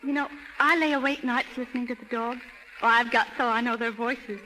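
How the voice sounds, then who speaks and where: A woman playing a gentle, earnest young country woman, a dutiful daughter, in a 1930s radio drama. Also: a little high, steady tone, fairly quick. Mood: soft, romantic. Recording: hissy, reverberant 1930s radio recording.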